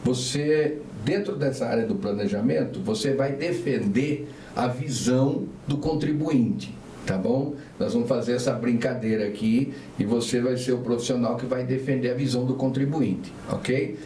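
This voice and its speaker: A man's voice: medium pitch, moderate pace, mellow. A man hosting a casual podcast interview, chatty and leaning on tag questions, asking his guests about themselves. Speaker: podcast host. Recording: echoey room, mic at a distance, faint noise.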